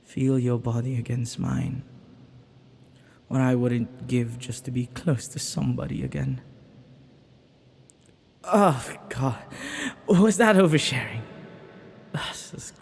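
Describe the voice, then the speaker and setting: A man's voice: medium pitch, slow, gravelly, pauses often, slightly rough, full character voice. A man playing a flirty, ancient banished villain in an intimate audio roleplay, lonely and teasing, with hesitant, murmuring delivery. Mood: yearning, then flustered. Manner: flirtatious, hesitant, breaking into murmurs and soft chuckles.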